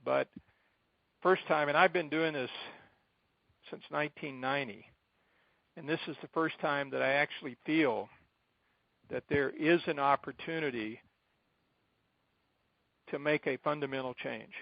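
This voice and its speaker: Middle-aged American male, advocacy leader on a muffled conference call, creaky voice, deliberate and emphatic phrasing. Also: a little high, no room sound. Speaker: advocacy group president addressing supporters. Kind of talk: informal briefing on a conference call. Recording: dull, band-limited conference call line.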